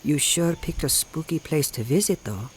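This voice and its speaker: A woman playing a kindly, motherly neighbor in a radio drama, gentle and neighborly. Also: low voice, expressive, moderate pace, husky, low-quality recording.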